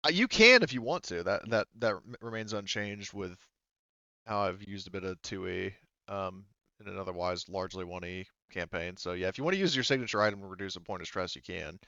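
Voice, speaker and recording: A man running a tabletop game as game master, giving casual rulings and narration in a halting way, thinking aloud. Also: deep voice, expressive, pushed and bright, no echo, lo-fi sound.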